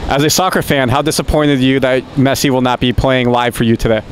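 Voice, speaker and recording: A man working as a reporter, upbeat, asking fans quick questions outside a stadium. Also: mid-range voice, fairly even intonation. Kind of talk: pregame fan interviews. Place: outdoors near a stadium. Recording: outdoor traffic noise.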